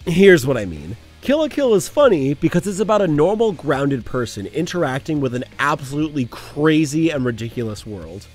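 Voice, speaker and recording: A man's voice: on the high side, very animated, moderate pace, hardly any pauses, darkish tone, soft background music. A young man hosting a casual commentary video, with sarcastic, self-mocking asides. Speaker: video essay host.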